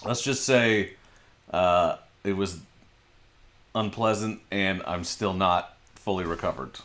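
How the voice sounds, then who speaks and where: A man in his forties with a lowish voice hosts a relaxed livestream from his garage. He chats easily and reads out viewer comments as he answers them, close to a desk microphone. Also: takes it slowly. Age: 40s. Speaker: livestream host. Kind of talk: casual livestream chat. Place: home garage.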